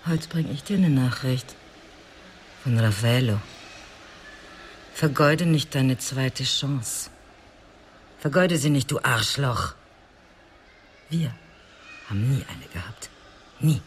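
An actor playing a scornful, wounded former lover in a radio drama, bitter in manner. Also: male voice, mid-range voice, slow pace, pauses often, a little hiss or hum, lightly acted. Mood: contemptuous.

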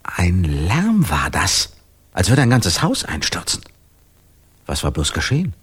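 An actor playing an eccentric, confused composer in a German radio drama, speaking in a halting, musing, courtly way. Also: male voice, low voice, huge pitch swings, breathy edge, heavily acted. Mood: confused, searching. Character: eccentric, confused amnesiac male composer in 1917 Paris.

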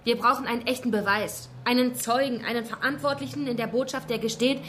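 A woman's voice: on the high side, fairly even intonation, brisk, a bit bright, a little hiss or hum, natural voice. An actress playing a combative, scornful and driven woman activist in a German radio drama. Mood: insistent. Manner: combative, sarcastic.

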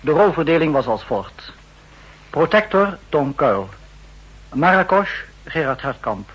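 Slow, husky male radio announcer. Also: a little high, sing-song, a bit bright, dull audio. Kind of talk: formal 1960s broadcast announcement, reading credits.

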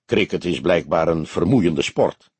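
A man reading an audiobook, playing a patient, wry old police detective who questions in a measured, probing, dryly mocking way. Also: low voice, lively intonation, a little mellow, slightly rough, no room sound, voice pushed down, full character voice. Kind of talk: audiobook character dialogue.

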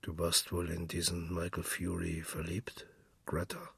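A man voicing a jealous, wounded husband with a husky, breathy voice, subdued and coldly ironic in a radio drama. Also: sits low, monotone, measured pace, fairly bright tone, no echo, heavily acted. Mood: humbled, sad.

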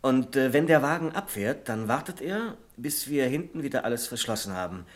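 A man's voice: medium pitch, expressive, normal speed, natural voice. An actor playing a deferential but self-assured bank deputy in a German radio play, polite and matter-of-fact as he explains things. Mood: reassuring, explanatory.

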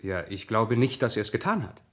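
An actor playing a politely persistent, probing newspaper reporter in a German radio crime drama. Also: male voice, on the low side, very animated, brisk. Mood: reassuring. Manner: probing, polite, hesitant question-asking. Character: male newspaper reporter, inquisitive, politely persistent amateur sleuth.

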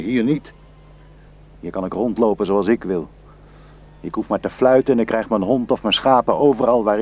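An actor playing a proud, dreamy Kurdish shepherd husband in a radio drama. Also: male voice, mid-range voice, a little mellow, breathy edge, natural voice. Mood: defiant, proud.

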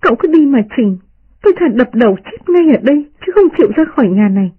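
A proud, hot-tempered young maid, voiced by a woman reader, cries out in a high, swooping voice. Her rough, husky tone comes through a muffled, phone-thin radio broadcast. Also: sing-song, fast, full character voice. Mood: tearful, indignant.

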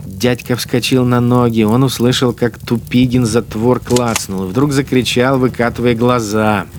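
A man's voice: mid-range voice, fairly even intonation, normal speed, a little hiss or hum, lightly acted. Callous, bitter wartime gunner, played by a man. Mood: tense, grim. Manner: terse, bitter inner monologue. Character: callous, irritable wartime machine gunner killing villagers. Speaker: actor in a radio play. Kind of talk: dramatic monologue in a radio drama.

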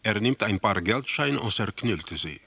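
An actor playing a detached narrator in a radio drama, describing eerie scenes in a measured, matter-of-fact way. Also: male voice, on the low side, animated, gravelly, bright, forceful tone, breathy edge, dry recording, full character voice.

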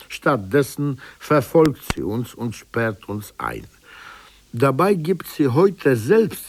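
Male reader for a scripted lecture: unhurried, earnest delivery with lively intonation and emphatic phrasing. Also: medium pitch, no room sound. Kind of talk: formal scripted lecture, read aloud.

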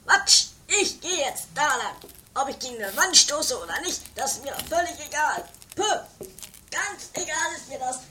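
A performer voicing a bossy, excitable, melodramatic woman in a campy improvised horror-comedy radio play, exclaiming theatrically. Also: high-pitched, moderate pace. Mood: annoyed, defiant. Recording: noisy homemade 1980s tape recording.

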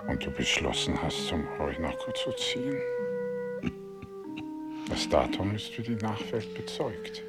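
An actor in a radio play voicing an elderly, calm, knowing statesman who reminisces in a measured, wistful way. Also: deep voice. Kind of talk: German radio play acting. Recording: loud flute music behind the voice.

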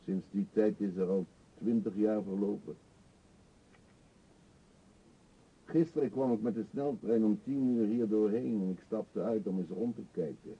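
An actor in a radio play voicing a middle-aged male vagrant, self-pitying and confessional, with a husky, halting, theatrical delivery. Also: fairly low pitch. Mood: wistful, bitter. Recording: dull lo-fi radio play recording.

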